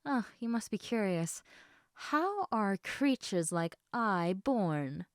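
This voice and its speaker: A woman's voice: medium pitch, very animated, takes it slowly, clean tone, dry recording, clean studio sound. A woman voicing a sleepy, affectionate lamia, half snake, in a casual, teasing, rambling way for an intimate roleplay addressed to the listener. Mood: playful, evasive.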